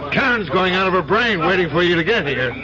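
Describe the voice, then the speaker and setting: An actor playing a jovial, bossy middle-aged senior law partner in a radio drama, hearty and backslapping. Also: male voice, high voice, expressive, fast. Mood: jovial, loud. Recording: muffled old radio broadcast, party crowd.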